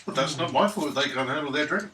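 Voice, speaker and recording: A man's voice: fairly high pitch, quick pace. A British man in casual tabletop roleplay, arguing in a blunt, dry manner. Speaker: tabletop game player. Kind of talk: casual in-character roleplay banter. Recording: echoey room, distant mic, noisy, clicks.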